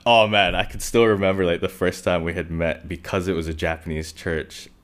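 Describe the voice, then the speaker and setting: A man's voice: low voice, steady tone, brisk, a bit bright. A young man from Hong Kong hosting a casual podcast interview, chatty and upbeat, laughing often. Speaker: podcast host.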